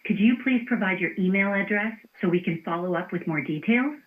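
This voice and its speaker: A woman's voice: mid-range voice, a little fast. A woman's synthetic voice working as an automated phone receptionist, polite and formulaic in scripted customer-service phrases. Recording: tinny phone line.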